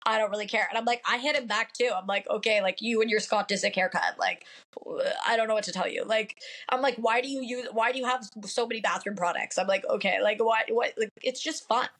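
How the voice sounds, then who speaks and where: A woman's voice: on the high side, fairly quick, a bit bright. A woman in her late twenties with a nasal voice, chatting casually as a podcast guest, upfront and full of fillers. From Pacific Northwest. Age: late 20s. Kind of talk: casual chat.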